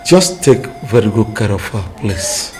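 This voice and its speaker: A low-voiced, slow-spoken father who sounds weary and resigned. He speaks with grave calm, and loud music plays beneath him. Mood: solemn. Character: older dying father, solemn and resigned.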